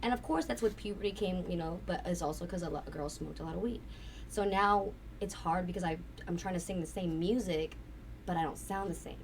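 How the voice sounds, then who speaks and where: A woman with a raspy voice, chatting candidly and at length as a guest, swearing casually. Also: on the low side, brisk. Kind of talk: casual interview chat. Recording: distant mic with faint noise.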